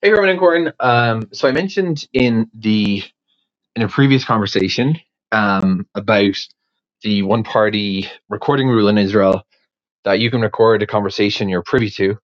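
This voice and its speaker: A native English-speaking man thinks aloud in a casual, rambling voice memo. His fairly low voice is hesitant and often doubles back on itself. The recording is dry and slightly muffled, as from a small recorder. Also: dry recording. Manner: hesitant, halting, circling back and repeating words. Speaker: podcast creator sending in a question.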